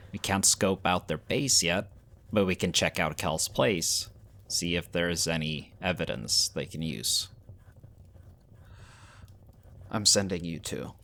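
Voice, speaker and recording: A man acting as a caring, weary young pack leader in an audio roleplay, speaking in a measured, earnest and reassuring way. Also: sits low, bright, forceful tone. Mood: grave, tired.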